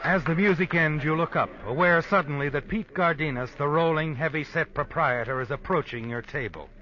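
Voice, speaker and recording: An actor playing a sardonic, all-knowing American narrator of an old radio suspense drama, insinuating and dramatic. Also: male voice, on the high side, brisk, noisy background, close to an everyday voice. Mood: sardonic, ominous. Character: sardonic, omniscient male storyteller of suspense tales. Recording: muffled old radio with music. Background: music.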